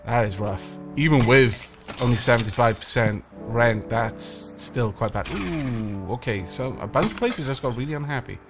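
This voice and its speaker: A man's voice: mid-range voice, lively intonation, normal speed, hardly any pauses. A man with a slight cold, chatting playfully to himself and the game's tenants as he plays. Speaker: let's play host. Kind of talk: casual gameplay commentary. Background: game music.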